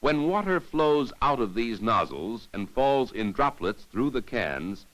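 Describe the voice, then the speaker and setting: A man giving formal, measured, didactic narration in the scripted style of a 1950s educational safety film. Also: medium pitch, big pitch swings, fairly bright tone, poor audio.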